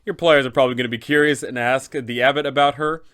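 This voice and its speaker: Man, game master host, medium pitch, casual explainer, conversational tabletop gaming guide. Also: steady tone, quick pace, fairly bright tone, smooth. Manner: conversational, rhetorical questions.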